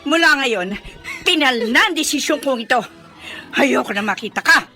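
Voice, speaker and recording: Casting: domineering, jealous older Filipino employer for radio melodrama, high-pitched, wildly animated, accusing. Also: female voice, normal speed, faint music behind, full character voice. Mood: furious, domineering. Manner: haughty, scolding, accusing. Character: jealous, furious older female boss, cruel and domineering. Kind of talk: melodramatic radio drama acting.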